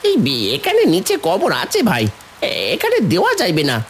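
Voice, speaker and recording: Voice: medium pitch, huge pitch swings, measured pace, slight background noise. An actor playing an anxious rustic gravedigger in an audio horror story, stammering in colloquial speech. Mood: worried warning.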